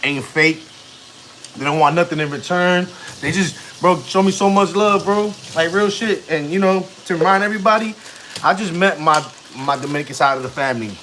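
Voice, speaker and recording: A man's voice: on the high side, moderate pace, a bit bright, a little hiss or hum, rough recording. A man in his thirties, a family vlogger, chatting casually on a live stream in slang, rambling and chatty. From United States. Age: 30s.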